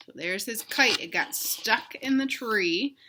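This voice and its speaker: A woman reading a children's storybook aloud in an animated, emphatic way, pausing to put questions to young listeners. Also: fairly high pitch, background noise. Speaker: read-aloud host for children.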